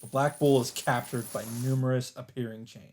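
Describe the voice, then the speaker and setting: A man doing a let's play, reading a visual novel aloud as dramatic narration in halting phrases, with some off-the-cuff reactions. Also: mid-range voice, steady tone, a little mellow, slight background noise. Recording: music behind the voice. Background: game soundtrack music.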